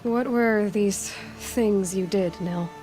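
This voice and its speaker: Young outlander heroine actress: mid-pitched, firm, no-nonsense game performance with music underneath. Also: female voice, takes it slowly, mellow, lightly acted. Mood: wary, dry. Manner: direct, questioning, firm. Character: determined young female hunter, guarded outlander, blunt and impatient. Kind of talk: video game voice acting.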